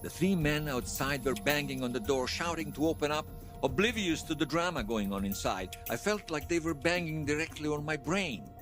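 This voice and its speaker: An actor playing an earnest, fervent veteran loyalist giving testimony in a fiction podcast, conversational and emphatic. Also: male voice, a little high, lively intonation, moderate pace, over music, close to an everyday voice. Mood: tense recollection. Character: earnest male veteran loyalist recounting an uprising, fervent believer. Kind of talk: fiction podcast monologue told as interview testimony.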